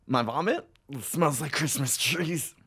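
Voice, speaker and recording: A man's voice: a little high, measured pace, a bit bright, slightly rough, dry recording, clean studio sound, heavily acted. An actor playing a needy, hard-drinking philanderer of a phone salesman in an audio drama, rambling and swearing as he goes. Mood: drunk, slurring.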